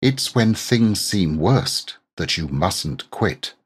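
A man reading inspirational verse aloud in a deliberate, earnest, exhorting manner. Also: sits low, animated, breathy edge, no room sound. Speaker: poetry reader. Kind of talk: measured inspirational poetry recitation.

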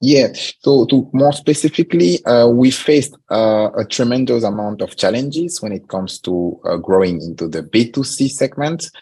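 A startup founder talking through his company in a podcast interview, pausing often with fillers. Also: medium pitch, lively intonation, dark tone, breathy edge. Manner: measured, frequent "uh" fillers.

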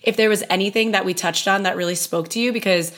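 A young woman co-hosting a podcast in casual chat, hoarse from losing her voice, enthusiastic and often asking "right?". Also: mid-range voice, fairly even intonation, quick pace, a bit bright. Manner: enthusiastic, says "right?" a lot. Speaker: podcast co-host.

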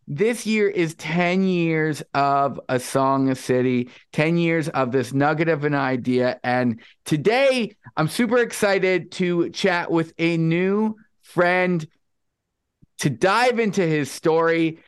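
A man's voice: high-pitched, clear, resonant tone. Upbeat man hosting a podcast. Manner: upbeat, enthusiastic. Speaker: podcast host. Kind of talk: casual interview chat.

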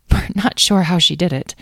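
A woman's voice: on the low side, normal speed, gravelly, a bit bright, dry recording. Woman as a hesitant, bookish dragon expert. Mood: rueful. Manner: plain-spoken, hesitant. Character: bookish, unsure female dragon expert, self-doubting.

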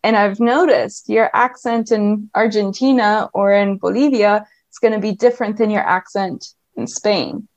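A woman's voice: mid-range voice, steady tone, moderate pace, few pauses, a little mellow, no echo, dull audio. Woman talking in long flowing lists. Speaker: guest, language business owner. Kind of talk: conversational interview.

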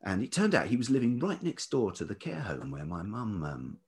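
Middle aged guy, really deep velvety voice, chatting thru memories, kinda halting and searching for words. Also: sing-song. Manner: hesitant, searching, repeats words. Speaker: interview guest, writer and musician. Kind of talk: reflective conversational storytelling.

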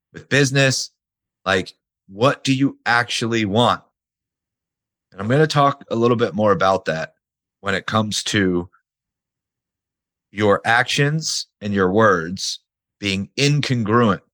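A man hosts his solo podcast in a rough, hoarse voice, close to losing it. His voice sits fairly low, and he talks casually, hitting his points hard and swearing now and then. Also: a bit bright. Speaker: podcast host.

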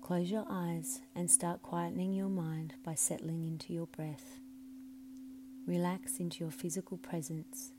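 A woman with a fairly low voice narrates a guided meditation. She is soothing and measured, letting phrases soften into breath. There is clear humming noise behind her. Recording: clearly audible background hum and noise.

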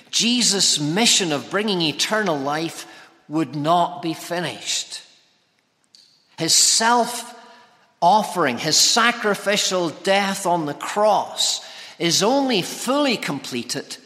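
A man's voice: fairly high pitch, lively intonation, bright, forceful tone. Man preaching in a long-echoing hall. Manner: measured, deliberate phrasing with pauses. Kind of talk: sermon. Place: large church interior, preaching to congregation. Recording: long reverberant church hall echo.

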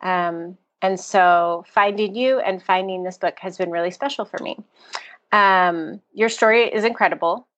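A woman's voice: lowish voice, steady tone, unhurried, a bit bright, lo-fi sound. A young adult American woman hosting a book podcast, chatty and effusive as she interviews a guest. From United States. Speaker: podcast host. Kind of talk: casual interview chat.

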